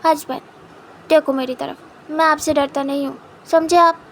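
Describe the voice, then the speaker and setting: A woman's voice: steady tone, normal speed, a little hiss or hum. A woman voicing a playful, pouting young man who boasts that he is not afraid. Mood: teasing boast. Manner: higher, louder teasing voice.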